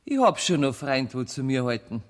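An actress playing a proud, self-assured woman swindler in a radio play, with a husky voice and Bavarian accent. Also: very deep voice, animated, normal speed. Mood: defiant, confident. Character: proud, defiant woman swindler banker in prison. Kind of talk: German radio play acting. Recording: reverberant 1970s radio drama studio.

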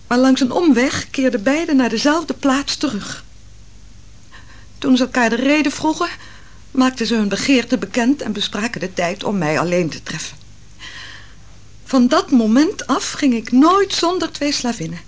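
A woman acting an anguished, virtuous wife in a Dutch radio play, telling her story in a solemn, dramatic manner. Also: a little high. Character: Susanna, anguished virtuous wife of a royal counsellor.

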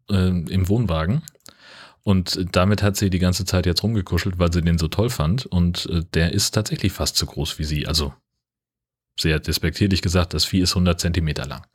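A man co-hosting a podcast in casual chat, wry and mock-formal, with hesitant phrasing. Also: deep voice. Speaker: podcast co-host.

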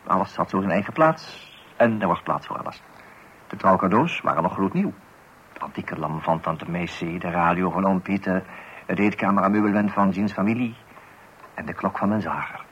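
A man's voice: sits low, moderate pace, a bit bright. An actor playing a wry husband who narrates his family life in a radio play with mock-solemn musing. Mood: musing, nostalgic. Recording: dull, hissy old radio recording.

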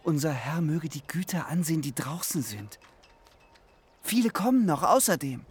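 A man's voice: a little high, animated, moderate pace, slightly rough. A man voicing the defiant, angry young sons who protest to their father.

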